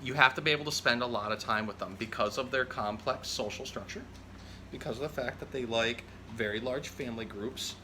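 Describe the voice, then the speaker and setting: Bright-voiced man hosting an animal storytime. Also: fairly low pitch, slight background noise. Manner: conversational, explanatory. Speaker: zookeeper host of children's storytime show. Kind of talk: casual educational talk and storybook reading.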